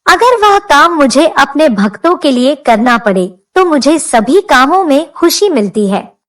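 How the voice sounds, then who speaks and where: A woman voicing a benevolent male god who explains things calmly in a devotional story. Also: high voice, unhurried, exaggerated voice.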